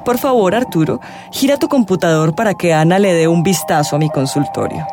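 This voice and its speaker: An actress with a Colombian accent playing a mysterious, self-assured tarot reader in a radio drama, theatrical and sardonic. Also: female voice, fairly low pitch, brisk, music in the background, natural voice. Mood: mysterious, grand.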